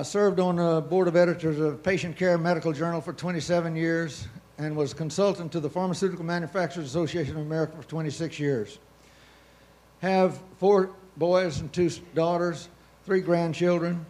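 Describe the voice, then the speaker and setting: Hoarse older country doctor, folksy. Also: male voice, fairly high pitch, darkish tone. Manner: folksy, matter-of-fact. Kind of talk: self-introduction on a panel.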